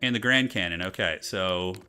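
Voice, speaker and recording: Bright-voiced man casually commentating a game. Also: low-pitched, moderate pace, clean tone. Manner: slangy, colloquial, thinking aloud. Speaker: streamer playing a strategy game. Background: game music.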